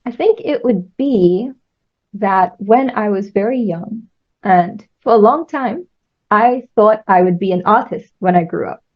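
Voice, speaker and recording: A woman's voice: mid-range voice, measured pace. Young woman, lo-fi sound. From Trinidad. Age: young adult. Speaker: engineering student guest. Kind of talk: interview answers.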